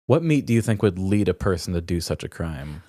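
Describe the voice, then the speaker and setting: A man's voice: sits low, big pitch swings, a little fast, mellow, dry recording, studio quality. A man co-hosting a comedy podcast, chatting casually with a wry, joking manner. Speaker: podcast co-host.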